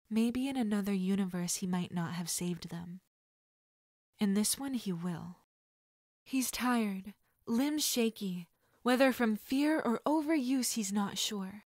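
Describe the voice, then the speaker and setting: A woman reading a story aloud as scripted dramatic narration, expressive and at times dropping to hushed tones. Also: mid-range voice, no room sound, clean studio sound. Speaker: fan fiction audiobook reader.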